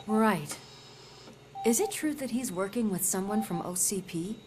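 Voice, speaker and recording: A woman's voice: medium pitch, animated, a little slow, lightly acted. Casting: concerned police partner in a game, female actor, mid-range voice, sincere and conversational. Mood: resolute, weary. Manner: earnest, confiding, conversational. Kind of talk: video game cutscene acting.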